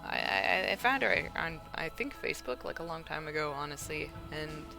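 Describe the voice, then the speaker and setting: A young woman streaming from home while she knits, chatting casually and rambling a little, her voice gravelly and slightly hoarse. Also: low-pitched, animated, moderate pace, over music. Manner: rambling, chatty, with fillers and laughs. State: a bit hoarse from not talking for hours. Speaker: craft streamer. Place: at home, alone.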